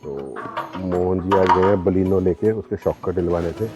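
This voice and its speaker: A man's voice: low-pitched, steady tone, unhurried. A man with a sore throat and a cold chatting casually to his viewers as he narrates his day. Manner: chatty, addresses viewers directly. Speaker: vlogger. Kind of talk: casual daily vlog narration. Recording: music playing loudly behind.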